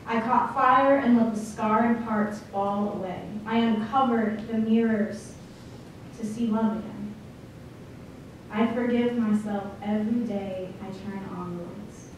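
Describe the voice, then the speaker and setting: A woman performing slam poetry, speaking deliberately with dramatic phrasing and pauses. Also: mid-range voice, fairly even intonation, recorded from a distance. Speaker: slam poet. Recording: echoey room from afar, faint noise.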